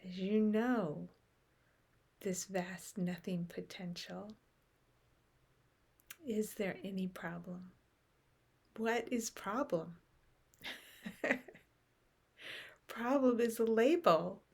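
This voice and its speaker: A woman leading a guided meditation in short, unhurried phrases with long pauses, softly trailing into breath at the ends. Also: medium pitch, vocal fry, slightly rough. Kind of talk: guided meditation, unscripted and contemplative.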